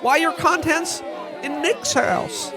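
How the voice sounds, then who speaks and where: A man's voice: extremely high pitch, slow pace, crowd noise, full character voice. A man playing an earnest, indignant everyman in a parody radio play, stammering and exasperated in a folksy old-movie manner. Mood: pleading. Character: flustered, indignant earnest everyman podcaster hero.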